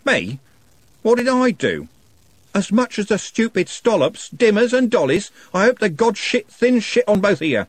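A man voicing a slick young street lad who shouts and rants in outrage. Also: high-pitched, wildly swooping pitch, takes it slowly, long pauses, no room sound, voice pushed up, heavily acted. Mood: furious.

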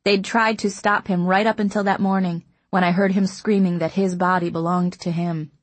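Soft, breathy woman reading a novel. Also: fairly low pitch, level tone, moderate pace, no room sound. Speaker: audiobook narrator. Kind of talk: first-person novel narration.

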